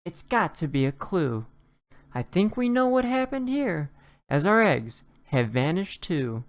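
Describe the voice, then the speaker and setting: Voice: medium pitch, sing-song, a little slow, pitched above the performer's own voice. A storybook narrator voicing a fussy, alarmed farm hen with an emphatic, scolding manner.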